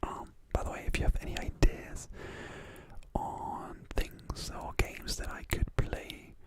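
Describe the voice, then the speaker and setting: An ASMR livestream host whispering softly in a rambling, relaxed way while reading viewer messages aloud from a room at home. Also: slow.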